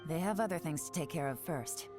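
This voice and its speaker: An actress playing a cool, composed female intelligence operative in a fantasy video game, speaking with unhurried authority. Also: low-pitched, lightly acted. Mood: cautious, businesslike. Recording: game music behind voice.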